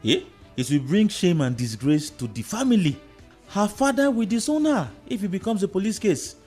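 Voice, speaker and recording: A blustering middle-aged Nigerian uncle, played by a male actor in a radio drama. He is emphatic and repeats himself, his pitch swinging widely over faint music. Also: lively intonation, normal speed, darkish tone, slightly rough. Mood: alarmed. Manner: agitated, repetitive, emphatic. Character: blustering uncle fearful of family shame.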